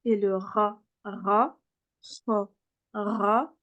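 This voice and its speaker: A woman's voice: medium pitch, fairly even intonation, very slow, narrow, tinny audio, a little hiss or hum. A woman teaching a beginner class over a video call, patiently repeating sounds for her students, with frequent fillers. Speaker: Arabic reading teacher.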